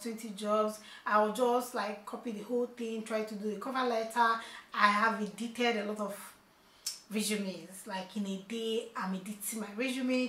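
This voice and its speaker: Bright-voiced chatty woman vlogger. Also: mid-range voice. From not from China. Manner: chatty, repeats "okay?" and "you guys". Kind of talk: casual vlog update to viewers. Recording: echoey room.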